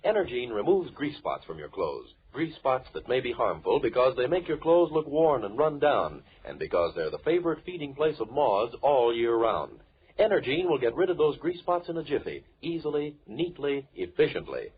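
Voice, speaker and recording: Lively vintage radio ad man, rough-toned. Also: mid-range voice, normal speed. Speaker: radio commercial announcer. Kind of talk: 1940s radio advertisement. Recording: tinny, rough old radio recording.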